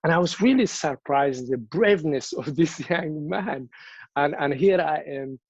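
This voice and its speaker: A man's voice: a little high, measured pace, husky, no echo, muffled recording. Earnest man telling a personal story. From Somalia. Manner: earnest storytelling, frequent repeated words and restarts. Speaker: invited speaker. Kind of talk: personal speech at a virtual event.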